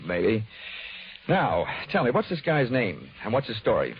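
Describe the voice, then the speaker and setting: An American actor playing a cocky, flippant insurance investigator with wry, breezy delivery in a 1940s radio detective drama. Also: male voice, on the low side, wildly swooping pitch, measured pace, exaggerated voice. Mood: wisecracking. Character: wisecracking, cocky freelance male insurance investigator. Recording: dull 1940s radio recording with music.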